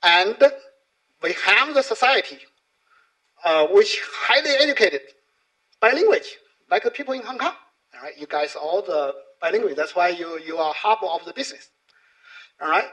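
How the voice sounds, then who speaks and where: A man's voice: high voice, animated, normal speed, long pauses, sharp tone, poor audio. A middle-aged man, a native Chinese speaker with a Chinese accent, giving an informal, explanatory conference talk in non-native English, often checking in with short tag questions.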